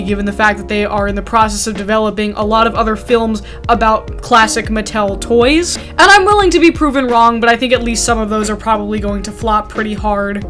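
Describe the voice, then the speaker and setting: A woman's voice: medium pitch, fairly bright tone. A young woman hosting a scripted commentary video, opinionated with sardonic asides. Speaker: video essayist host.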